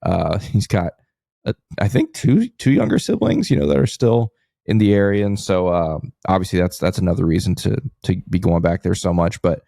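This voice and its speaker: American male co-host, gravelly and mellow, casual sports chat. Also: sits low, lively intonation, normal speed, no room sound, clean studio sound. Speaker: podcast co-host.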